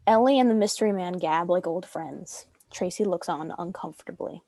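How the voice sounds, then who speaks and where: A woman's voice: fairly low pitch, moderate pace, warm tone, dry recording. A woman reading stage directions as scripted narration for a dramatic script reading.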